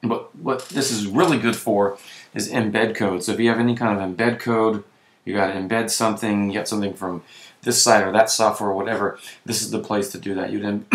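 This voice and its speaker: A man with a voice that is giving out, who clears his throat often and keeps correcting himself while walking through software on screen. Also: lowish voice, steady tone, normal speed, echoey room. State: losing his voice. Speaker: web tutorial presenter. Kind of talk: screen-recorded software walkthrough.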